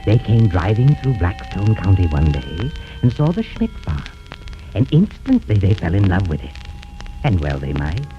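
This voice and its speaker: An old, muffled radio recording carries a sinister horror storyteller with a low voice. He swings dramatically up and down in pitch, drawing out his words with gleeful, macabre relish in classic 1930s thriller style. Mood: wry, storybook calm. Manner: theatrical, drawn-out dramatic delivery with macabre relish.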